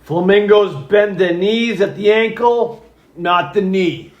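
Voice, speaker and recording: A man's voice: high-pitched, slow, lo-fi sound. A man playing a cagey, evasive, wisecracking bar regular in a scripted play. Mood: teasing. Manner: sly, evasive. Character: cagey, wisecracking bar patron keeping secrets. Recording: roomy, echoing sound.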